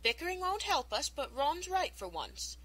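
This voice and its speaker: A man's voice: very high voice, very animated, normal speed, sharp tone, voice pushed up, heavily acted. A man voicing a clever, bossy teenage schoolgirl witch who is impatient with her friends. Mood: scolding. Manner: exasperated, commanding.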